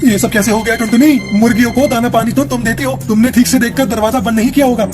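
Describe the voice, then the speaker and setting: A man's voice: very high-pitched, steady tone, fast talker, music in the background. A man as an earnest humble bird husband. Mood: distressed. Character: male bird, hard-working humble family man. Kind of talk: children's cartoon dialogue.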